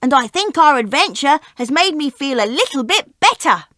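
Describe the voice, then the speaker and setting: A man reading a storybook and voicing a young boy prince in a high, childlike voice. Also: very animated, normal speed, sharp tone, no echo, clean studio sound, exaggerated voice. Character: young prince, small boy, frightened then brave.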